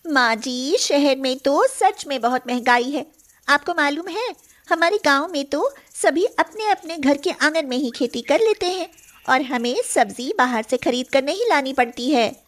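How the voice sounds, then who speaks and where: A woman playing an eager, respectful young daughter-in-law from a village in an animated moral story, speaking politely. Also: sits high, a little slow.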